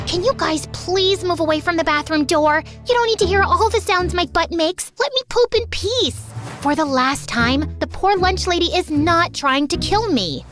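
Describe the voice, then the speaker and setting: Woman acting sassy dramatic college girl telling her story, really high voice, music behind it. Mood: exasperated, sassy. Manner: theatrical, exclamatory, sarcastic. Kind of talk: animated first-person story narration.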